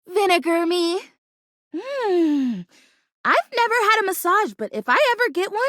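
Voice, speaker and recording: Kooky female plastic plant, video game character, extremely high voice, swooping intonation, perky, touchy, dramatic, hopeful. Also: sharp tone, no echo, studio quality, exaggerated voice. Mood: delighted, hopeful. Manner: perky, dramatic, folksy exclamations. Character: kooky, chirpy, touchy plastic houseplant woman, hopeful schemer.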